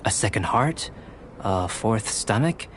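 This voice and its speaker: A man voicing a calm, curious young male alchemist in a video game, with precise, academic and politely detached delivery. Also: fairly low pitch, slight background noise. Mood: curious, explaining. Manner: measured, precise, academic phrasing.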